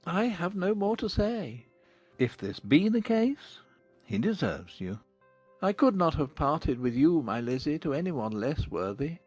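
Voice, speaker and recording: Older gentleman father played by a man: English, fond, dryly witty, deliberate, expressive range, close dry sound over soft music, period audiobook. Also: fairly high pitch, slightly rough, dry recording, exaggerated voice. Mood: tender, resigned. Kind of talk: dramatized audiobook acting of a period novel.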